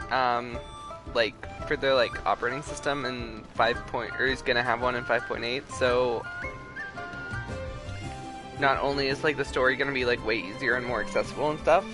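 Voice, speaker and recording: A man giving casual, chatty, joking commentary as a game streamer. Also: mid-range voice. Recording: game music behind the voice.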